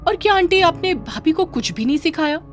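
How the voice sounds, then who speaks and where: A woman voicing a spiteful, haughty young sister-in-law in a moral story, sneering, sharp-tongued and condescending. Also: very high voice, animated, brisk, slight background noise, voice pushed up. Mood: complaining. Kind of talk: acted dialogue in a moral story.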